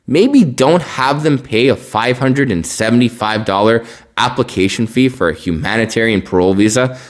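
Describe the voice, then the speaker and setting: A man gives an opinionated news report, explaining emphatically and rephrasing his points. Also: medium pitch. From born in Bosnia, partly raised in the United States. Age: 20s. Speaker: news podcast host.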